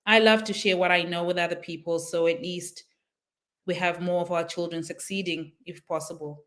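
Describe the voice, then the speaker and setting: A woman, a parenting coach speaking as a guest on a live online interview over a video call, enthusiastic and quick to laugh. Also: fairly low pitch, steady tone. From Zimbabwe. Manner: warm and enthusiastic, laughs easily.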